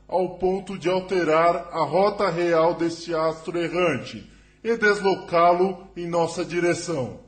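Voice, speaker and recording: A man playing a grave alien scientist who addresses a council with solemn, formal oratory in an audio drama. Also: sits high. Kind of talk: spiritist audio drama acting.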